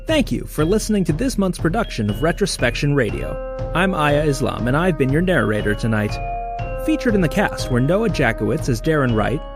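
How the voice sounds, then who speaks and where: A man delivers scripted narration for a radio-style drama. His fairly high voice moves with animated intonation in a measured storytelling manner. Music plays clearly behind him.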